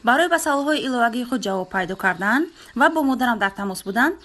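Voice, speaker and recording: A woman's voice: a little high, lively intonation, a bit bright. A woman with a Tajik accent playing a bride who talks like an automated phone operator, formal and polite, in a comedy sketch. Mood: formal, unruffled.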